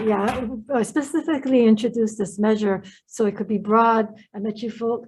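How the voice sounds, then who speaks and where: A woman chairing a legislative hearing who talks quickly and lets her phrases trail off. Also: mid-range voice, creaky, darkish tone, slightly rough, poor audio. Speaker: committee chair. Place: hearing room.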